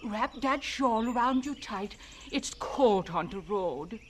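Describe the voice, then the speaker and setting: A woman's voice: fairly high pitch, lively intonation, slow, over loud music. An actress playing a fretful, motherly immigrant farmer's wife, speaking anxious broken English in a radio drama. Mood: anxious farewell.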